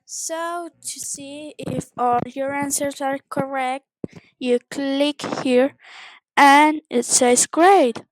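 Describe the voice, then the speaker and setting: A woman with a high voice teaches a basic language lesson at a very slow pace. She uses simple instructional phrases and repeats words as she goes. The sound is dry and close, with no room echo. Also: fairly even intonation. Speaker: English teacher. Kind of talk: informal screen-share language lesson narration.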